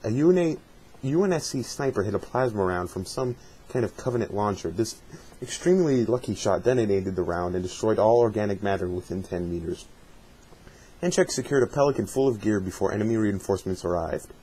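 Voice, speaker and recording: A man with a slightly husky voice doing a dramatic reading, with casual asides in between. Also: lowish voice, animated, brisk, warm tone, slight background noise, low-quality recording. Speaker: reader of a fan dramatic reading.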